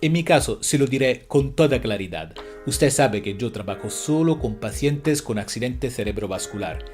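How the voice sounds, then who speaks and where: Male educational presenter, native Italian speaker, mid-range voice, instructive scripted delivery over a clearly audible music bed. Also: mid-range voice, expressive, normal speed, a little mellow. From Italy. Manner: didactic, rhetorical questions. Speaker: stroke rehabilitation therapist presenting an educational video. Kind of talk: scripted educational narration addressed to viewers.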